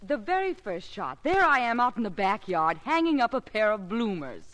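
An actress with an American accent playing an anxious, devoted young wife in 1950s radio drama style, hesitant and pleading. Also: female voice, medium pitch, big pitch swings, moderate pace, a bit bright. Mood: exasperated. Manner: hesitant, pleading, breaking off mid-phrase. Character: anxious, devoted young wife turned reluctant movie star.

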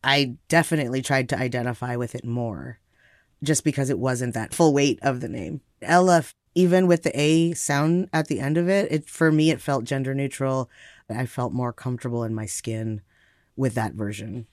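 A man's voice: medium pitch, normal speed, no echo, studio quality. A man with an American accent telling personal stories reflectively and conversationally as an interview guest.

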